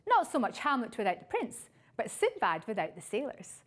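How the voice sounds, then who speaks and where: A woman presents scripted political commentary in a high voice. Her intonation is very lively, rising and falling widely, with a wry, arch delivery. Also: moderate pace.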